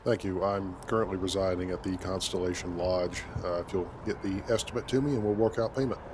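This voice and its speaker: A man roleplaying a steady, dry-humored spaceship captain over video game play. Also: deep voice, brisk. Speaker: gamer voicing his player character. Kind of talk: in-character roleplay over gameplay. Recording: clearly noisy, game ambience behind.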